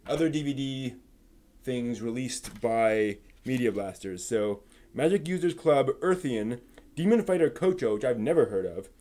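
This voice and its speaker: A man's voice: mid-range voice, expressive, moderate pace, warm tone. A man hosting a live stream in a casual, chatty style, rambling and thinking aloud as he goes. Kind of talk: casual live stream chat about anime news and giveaways.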